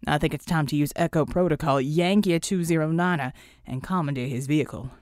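Stern superhero officer, male, upright and indignant played by a female reader, low register, formal delivery, very dry studio sound. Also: brisk, a little mellow, dry recording, close to an everyday voice. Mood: brisk, commanding. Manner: formal, firm, reproachful.

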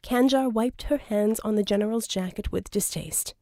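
Mellow-voiced woman narrating fiction. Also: mid-range voice, moderate pace, dry recording, studio quality. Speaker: audiobook narrator.

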